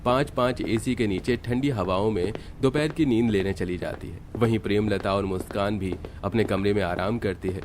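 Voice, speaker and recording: A man tells an animated story as its narrator. His voice sits fairly low and follows a written script. There is faint noise in the background. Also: moderate pace, few pauses.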